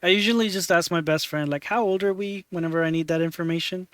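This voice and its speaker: A man in his twenties hosting a stream, chatting casually in a halting way with many fillers while he works on screen. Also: high-pitched, a bit bright, clear, resonant tone, no echo. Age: 20s. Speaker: streamer host. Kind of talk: casual chat.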